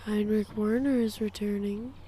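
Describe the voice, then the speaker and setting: An actress playing the eerie, taunting, breathy ghost of a young woman in a radio drama. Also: medium pitch, fairly even intonation, moderate pace, warm tone, natural voice, poor audio.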